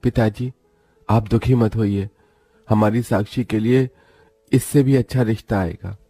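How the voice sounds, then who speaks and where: An actor playing a humble, dutiful young son of a poor family in a story, earnest and deferential. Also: male voice, on the low side, steady tone, unhurried, warm tone. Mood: reassuring.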